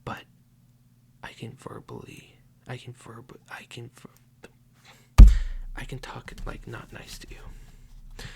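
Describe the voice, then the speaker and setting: A man whispering a playful mock police interrogation as an ASMR roleplay, slow and teasingly accusing. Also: mid-range voice, monotone, gravelly.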